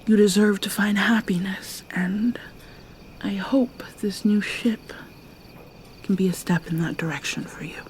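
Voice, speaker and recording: A woman voicing a gentle young mermaid in an ASMR roleplay, speaking softly and hesitantly, often in a whisper. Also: mid-range voice, a little slow, a little hiss or hum, natural voice, rough recording. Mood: shy.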